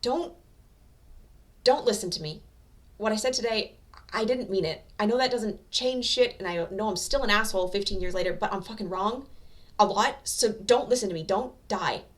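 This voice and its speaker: A woman voicing a gruff, foul-mouthed young male hero who talks bluntly and forcefully in an amateur audio drama. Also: mid-range voice, moderate pace, voice pushed up, lightly acted. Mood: urgent, earnest.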